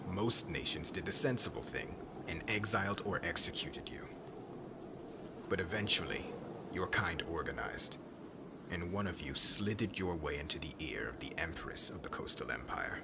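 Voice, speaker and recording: Casting: male actor as stern witch hunter for ASMR roleplay, low-pitched, cold, breathy, bitter, over clearly audible background noise. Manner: cold, commanding, brooding.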